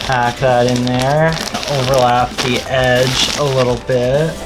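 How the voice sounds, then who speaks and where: A man's voice: mid-range voice, flat delivery, slow, heavy background noise. A man giving a casual, easygoing hands-on tutorial as the host of a DIY build video. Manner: informal, easygoing.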